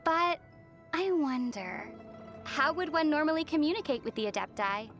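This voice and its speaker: Young game heroine, fairly high voice, composed, gentle, wry, measured delivery, music underneath. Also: female voice, expressive. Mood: musing. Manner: measured, thoughtful storytelling. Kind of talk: video game character voice lines.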